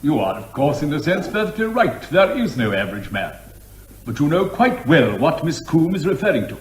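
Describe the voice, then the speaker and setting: A man's voice: fairly high pitch, sing-song, moderate pace. A man playing a testy, authoritative judge in a courtroom comedy drama, speaking formally and cutting in to steer the court. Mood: patiently firm. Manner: formal, magisterial, interrupting. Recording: echoey room with faint noise.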